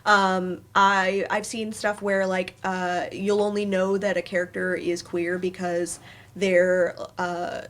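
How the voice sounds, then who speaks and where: A woman giving her thoughts in a casual panel discussion, hesitant and halting as she searches for words. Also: fairly low pitch, little pitch movement, fairly bright tone. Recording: noisy room ambience.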